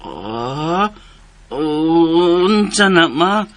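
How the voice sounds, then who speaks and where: Casting: childlike, slow-minded son, halting stammer, higher-pitched, very slow delivery. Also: male voice, animated, a bit bright, full character voice. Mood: pleading, confused. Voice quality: labored, stammering articulation. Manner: halting, repeating syllables. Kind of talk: radio drama acting.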